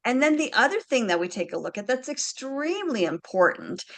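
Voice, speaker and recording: A woman's voice: high voice, big pitch swings, fairly quick. Slovene-woman explaining on a video call. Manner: chatty and explanatory, frequent "alrighty" and "right?" check-ins. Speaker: handwriting analyst presenter. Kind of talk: explanatory presentation to a group.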